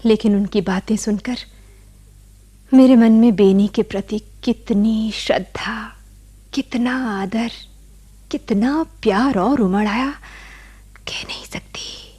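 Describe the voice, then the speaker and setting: A devoted, anxious wife in a radio drama. Her voice is fairly high yet mellow and breathy. She speaks slowly, with worry under the words. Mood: hushed, anxious. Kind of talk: Hindi radio drama acting.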